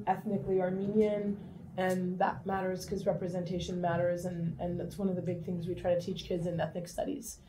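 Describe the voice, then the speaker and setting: A woman in her forties, a high school teacher from Southern California, introducing herself on a panel in a lecture room while losing her voice to a cold, in a friendly, self-deprecating way. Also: mellow, a little hiss or hum, poor audio. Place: lecture room, seated at table. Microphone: far camera.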